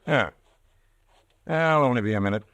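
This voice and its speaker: A man's voice: mid-range voice, animated, normal speed, a bit bright, no room sound. A man playing a polite, matter-of-fact homicide inspector in a 1940s radio drama. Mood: businesslike. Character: calm male homicide detective, polite and probing. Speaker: police inspector.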